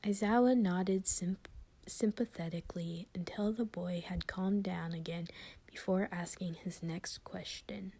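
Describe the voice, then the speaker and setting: Casting: female amateur story narrator, dark soft tone with plenty of fry, unhurried pace. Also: fairly low pitch, steady tone. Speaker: amateur fan fiction reader.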